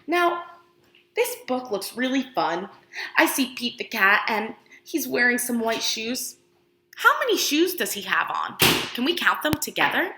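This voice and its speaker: A woman reads a picture book to small children as their teacher, in an unhurried, lively, swooping voice. She puts questions to the listeners and waits for replies, exaggerating her words to encourage them. The room adds a clear echo. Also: fairly high pitch. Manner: expressive, asking questions and pausing for answers; exaggerated, encouraging talk aimed at young children. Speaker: pre-K teacher reading aloud to children. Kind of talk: interactive children's picture book read-aloud.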